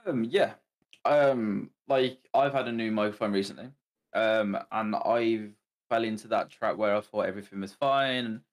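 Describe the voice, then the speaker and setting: Hesitant low-voiced man giving tips. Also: moderate pace. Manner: hesitant, many "um"s. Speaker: guest streamer. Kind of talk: casual advice to new streamers.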